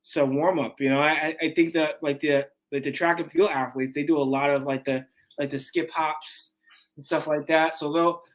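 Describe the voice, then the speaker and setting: Fitness trainer guest, man in his 40s, slightly high voice, animated and loose, keeps restarting. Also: normal speed. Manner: animated, restarts often, says man and dude. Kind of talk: casual interview chat.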